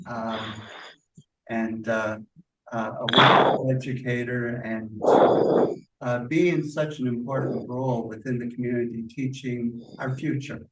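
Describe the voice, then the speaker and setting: An older man giving an informal tribute speech in a halting, reflective way with long pauses. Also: mid-range voice, steady tone. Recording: distant mic with clear background noise.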